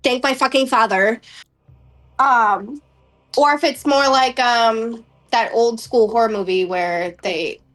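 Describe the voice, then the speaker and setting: Woman chatting at a game table, bit high pitched, kinda blunt. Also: takes it slowly, a bit bright, rough recording. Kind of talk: casual chat.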